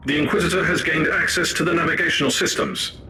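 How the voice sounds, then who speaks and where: An actor playing an emotionless machine-priest in a sci-fi video game, speaking in a clinical, matter-of-fact way. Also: male voice, fairly high pitch, steady tone, fast talker, lightly acted. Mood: clinical warning. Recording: noisy ambience behind the voice.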